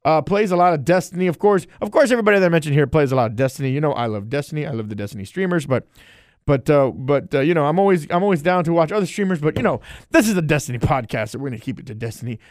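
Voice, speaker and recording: A man's voice: a little high, expressive, racing, a little mellow, no echo, clean studio sound. A man hosting a casual solo podcast and chatting in a loose, rambling, friendly way. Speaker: podcast host and streamer.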